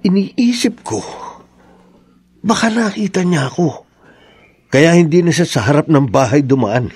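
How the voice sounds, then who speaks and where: A man playing a suspicious, watchful village husband in a radio drama, speaking in a deliberate, brooding way. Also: on the high side, expressive, darkish tone, husky, no echo, clean studio sound. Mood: grave, suspicious.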